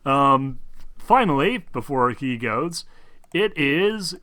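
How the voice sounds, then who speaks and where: A man's voice: mid-range voice, lively intonation, takes it slowly, sharp tone. A man acting as game master, narrating a tabletop game off the cuff in an informal, hedging way.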